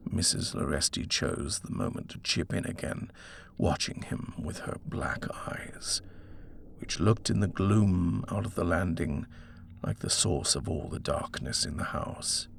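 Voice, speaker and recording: A man's voice: low voice, animated, measured pace, gravelly, fairly bright tone, slight background noise. A man reading a horror story as a dramatic audiobook narrator.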